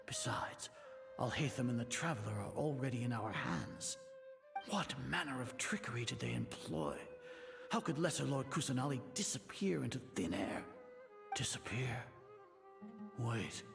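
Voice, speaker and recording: An imperious old grand sage from a fantasy game, gravelly and highly animated. He speaks in formal, commanding phrases, suspicious and rattled, with music clearly playing behind him. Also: moderate pace, long pauses. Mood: alarmed, suspicious. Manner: commanding, formal, questioning himself aloud. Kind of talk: video game voice acting.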